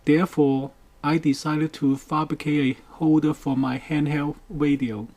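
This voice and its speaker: A man narrating a scripted how-to about a DIY project in a measured, careful way. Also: on the high side, level tone, darkish tone. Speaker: DIY boat project presenter.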